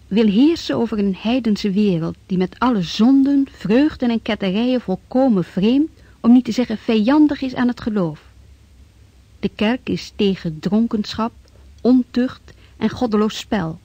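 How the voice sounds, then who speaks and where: An actress playing a sober historical chronicler, narrating from a script in a radio drama. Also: female voice, a little high, moderate pace, warm tone, slightly rough, no echo. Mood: sober, factual. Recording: dull, dry old radio recording.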